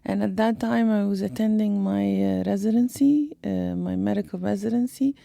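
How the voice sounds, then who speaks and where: A middle-aged woman, recalling family life haltingly with many pauses and restarts. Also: mid-range voice, gravelly, warm tone, no room sound, clean studio sound. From Egypt. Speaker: interviewee, mother, doctor. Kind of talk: casual family interview.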